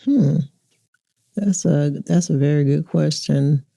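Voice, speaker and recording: A woman's voice: deep voice, slow pace, creaky, warm tone, husky, no room sound. A woman in her sixties talking as an interview guest in a casual, reflective chat. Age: 60s.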